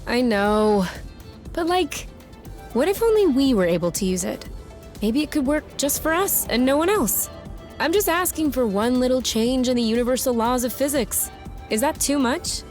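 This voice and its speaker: A woman playing a feisty, defiant and anxious young woman in a video game, with a blunt, sarcastic, expressive delivery. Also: sits high, normal speed, faint music behind. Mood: exasperated. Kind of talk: video game cutscene acting.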